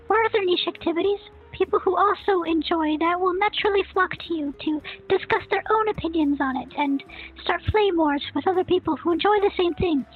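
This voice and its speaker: A woman voicing a smug young boy savant who hosts a snarky scripted commentary rant. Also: very high-pitched. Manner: smug, snarky, self-assured. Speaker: commentary channel host. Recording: tinny phone-like sound, faint music.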